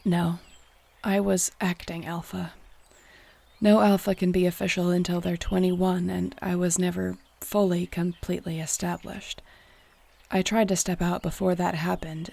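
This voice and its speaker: A woman playing an earnest, tender young shapeshifter clan leader in an intimate audio roleplay, speaking softly and confidingly. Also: lowish voice, level tone, normal speed, gravelly, slightly rough. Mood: relaxed, fond. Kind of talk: intimate audio roleplay acting addressed to the listener.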